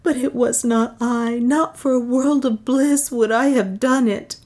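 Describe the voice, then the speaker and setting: A woman's voice: fairly low pitch, slow pace, warm tone. A woman reading a story, voicing an anguished, tender young woman who pleads in impassioned, archaic speech. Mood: frantic, pleading. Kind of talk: dramatic audiobook storytelling.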